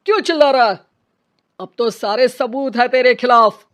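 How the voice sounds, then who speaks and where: Woman voicing scornful man in high voice. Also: moderate pace, a bit bright, lightly acted. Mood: angry, threatening. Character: male characters: gruff policemen and scornful angry men. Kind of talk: dramatised Hindi story dialogue.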